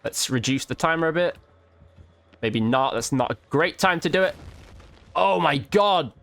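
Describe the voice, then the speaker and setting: Male gamer, higher-pitched voice, very lively intonation, casual and exclamatory live commentary. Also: a little slow, creaky. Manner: reactive, exclamatory, slangy. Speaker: video game streamer, YouTube host.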